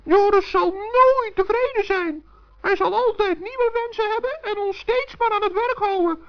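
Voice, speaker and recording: A woman's voice: extremely high pitch, moderate pace. A woman voicing a small, earnest, kindly male forest gnome who frets and placates, in a children's radio play. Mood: gloomy, sympathetic. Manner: earnest, fretful, conciliatory. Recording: dull, muffled old recording.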